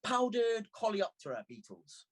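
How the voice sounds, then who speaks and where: Man voicing an impish, high-pitched young girl. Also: very animated, a little slow, heavily acted. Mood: gleeful challenge. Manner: bouncy, teasing, insistent. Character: impish, gloating young girl who loves quizzes.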